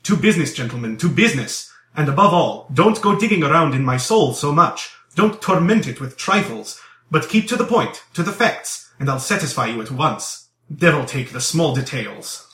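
A man's voice: fairly high pitch, animated, normal speed, a bit bright, recorded from a distance. A man voicing a desperate, effusive young man under arrest in an audiobook, exclaiming, pleading and repeating his words. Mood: eager, insistent. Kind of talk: dramatized audiobook character dialogue. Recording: roomy echo.

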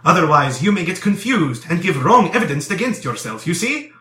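A kindly old police commissioner, as a male narrator acts him out, rambles on in a fatherly, earnest way. The voice is animated and bright, flustered and coaxing, recorded in an echoing room for a storybook. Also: high-pitched, brisk, pitched above the performer's own voice, exaggerated voice. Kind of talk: dramatized audiobook character dialogue. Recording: roomy echo.

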